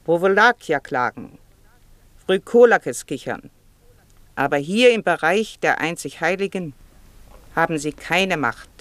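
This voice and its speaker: An aged nun in a radio drama, devout and mystical. She speaks very slowly and with solemn reverence. Her voice rings out in a long, cavernous reverb. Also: lowish voice, lively intonation. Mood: eerie, reassuring. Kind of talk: German radio play acting.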